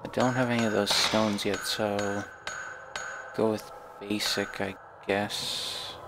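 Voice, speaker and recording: Guy doing lets play commentary, low gravelly voice, nervous muttering, noisy recording w game ambience. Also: little pitch movement, slow pace. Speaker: let's player. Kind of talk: casual horror game commentary. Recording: noisy home recording, clear background noise. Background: horror game ambience.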